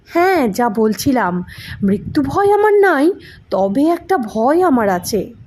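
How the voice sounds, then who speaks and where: A woman's voice: sits high, very animated, slow, darkish tone, a little hiss or hum, heavily acted. A woman voicing an earnest, plaintive grandmother in her eighties in an audio story, speaking colloquially. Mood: earnest, fearful. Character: frail, earnest 83-year-old village grandmother.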